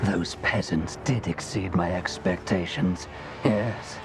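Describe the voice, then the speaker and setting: An actor playing a smug, menacing grand master in a fantasy game, haughty and mockingly courteous in his deliberate delivery. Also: low voice, full character voice. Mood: contemptuous. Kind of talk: dramatic fantasy game villain acting. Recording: clear music under the voice.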